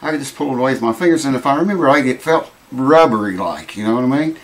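A man in his thirties with a drawl, his voice raspy and worn thin. He chats loosely and folksily, swearing now and then, as he talks through a repair in a small cluttered workshop. His pitch sits in the middle range, heard through a camera mic a few feet off. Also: animated, fast talker. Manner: rambling, folksy, swears casually. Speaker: guitar repair vlogger. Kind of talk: casual workshop chat to camera. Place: small cluttered home workshop with mounted animal heads, indoors.

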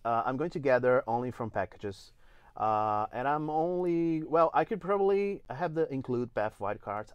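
A man in his forties from Brazil, speaking English with a Brazilian Portuguese accent, walking through a software demo step by step with many "uh"s and restarts. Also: mid-range voice, clean tone, no room sound. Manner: explains step by step with frequent "uh" and restarts. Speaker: engine evangelist giving a demo. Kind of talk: live software tutorial. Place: indoor studio.

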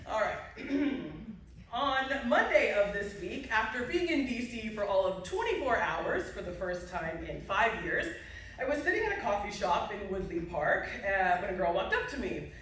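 A woman's voice: mid-range voice, very animated, normal speed, a bit bright. A woman speaks from a podium in a big hall, accepting an award in a formal, emphatic way and driving home her points by repeating them. She is heard from far back in the audience, with heavy echo and a faint hum of the room. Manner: emphatic, repetitive rhetorical build. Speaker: award recipient giving acceptance speech. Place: large indoor hall at a podium, to a seated audience. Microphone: podium mic, heard from a phone in the audience.

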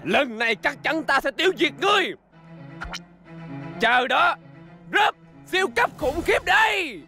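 A man's voice: extremely high pitch, lively intonation, unhurried, creaky, over music, full character voice. A man voicing a pompous, hot-tempered little alien villain in a cartoon dub, bossy and scornful in a theatrical way. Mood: menacing.

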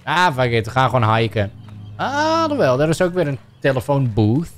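A man's voice: medium pitch, huge pitch swings, a little fast, soft background music. A young adult Dutch man streaming a video game, playfully reading game choices aloud and joking between them. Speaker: gaming streamer. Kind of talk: livestream game commentary.

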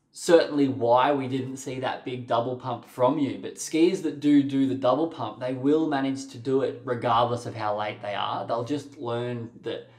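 A man's voice: medium pitch, darkish tone. A young man giving waterski coaching over video, direct and encouraging, often saying "mate" and "sort of". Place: small room with a plain wall. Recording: roomy echo.

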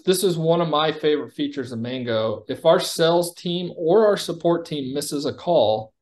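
A man giving a conversational product demo over a video call, explaining like a company executive presenting software. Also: fairly high pitch.

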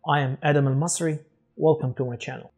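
A man in his forties from Egypt, Arabic native, speaking English with an Egyptian accent, host of his own channel, delivering an earnest, measured talk to camera on a lapel mic in a studio. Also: on the high side, fairly even intonation. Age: 40s. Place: studio with dark backdrop.

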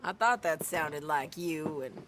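A woman's voice: sits low, lively intonation, measured pace, a bit bright, a little hiss or hum. A woman acting a tough, plucky Old West gunslinger in a video game, bold, with a drawl. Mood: delighted, warm. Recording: music behind the voice.

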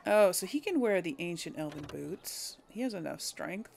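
A woman's voice: on the low side, lively intonation, moderate pace, creaky, darkish tone, a little hiss or hum. A woman hosting a let's play, thinking aloud in casual, hesitant gameplay commentary. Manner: musing aloud, hesitant deliberation.

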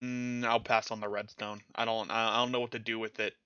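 Bright-voiced male game streamer. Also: on the low side, little pitch movement, fairly quick, clean tone, dry recording, muffled recording. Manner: stutters at times, self-mocking. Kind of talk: casual chat while playing a game.

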